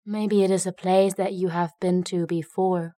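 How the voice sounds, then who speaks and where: A woman narrating a guided meditation in a gentle, unhurried way, leaving long pauses between phrases. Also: fairly low pitch, level tone, no echo, clean studio sound.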